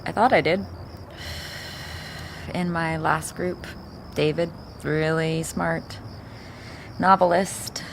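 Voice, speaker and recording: An actress playing a lonely, forthright mourner in a fiction podcast, with a gravelly fry and a terse, casual manner. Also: female voice, steady tone, takes it slowly, pauses often, background noise, exaggerated voice. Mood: wistful, probing. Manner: casual, low-key, terse. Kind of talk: naturalistic fiction podcast acting.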